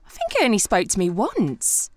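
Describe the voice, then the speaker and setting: A woman's voice: mid-range voice, wildly swooping pitch, normal speed, a little mellow, no echo. An actress playing a sceptical, outspoken woman in a comic audio drama, musing aloud and blurting out sardonic doubts. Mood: curious, musing. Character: sceptical, outspoken woman aboard Noah's Ark, questioning everything.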